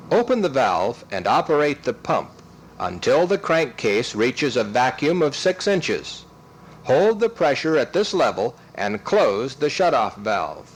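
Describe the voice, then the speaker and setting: A man reads scripted instructional narration in a methodical, step-by-step way, enunciating clearly. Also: medium pitch. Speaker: training film narrator.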